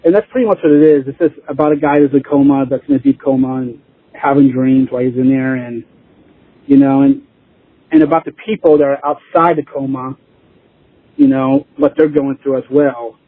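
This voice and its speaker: A man's voice: fairly high pitch, sing-song, fairly quick, vocal fry. A man being interviewed as a band drummer, chatting casually in a rambling way with lots of "you know," over a lo-fi call. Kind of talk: casual chat. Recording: lo-fi phone-like line.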